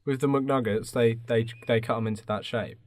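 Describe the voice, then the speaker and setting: A man's voice: medium pitch, fairly even intonation, moderate pace, slight background noise. A British man co-hosting a podcast, chatting casually and bantering. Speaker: podcast co-host. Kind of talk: casual banter while developing a comedy radio play script.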